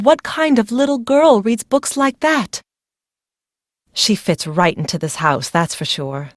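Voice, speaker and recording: Mystery game role: secretive, ailing young wife, female actor, irritable, brooding, medium pitch, very dry studio sound. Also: no echo, lightly acted. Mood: uneasy, confiding. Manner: guarded, brooding, dramatic. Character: secretive, fatalistic young woman, unwell and irritable. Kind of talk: scripted video game character dialogue.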